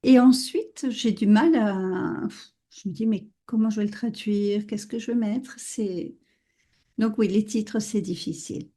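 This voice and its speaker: A French woman hosting a podcast interview, speaking in a casual, thoughtful way with frequent pauses and fillers. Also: medium pitch, warm tone. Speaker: podcast host.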